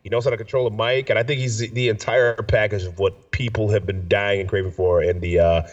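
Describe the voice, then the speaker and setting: A man's voice: lowish voice, fairly even intonation, brisk. Congested, nasal man on a distant mic. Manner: slangy, enthusiastic, repeats himself for emphasis. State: sick with a stuffy nose. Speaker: radio show and podcast host. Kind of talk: casual chat. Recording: distant mic, dull lo-fi sound.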